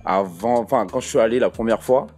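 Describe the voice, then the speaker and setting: A man's voice: fairly low pitch, fairly quick, soft background music. A young man from the Ivory Coast, a stand-up comedian chatting as a podcast guest, telling stories with slang and frequent laughter. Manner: laughs often, lively storytelling, street slang. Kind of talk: casual podcast chat.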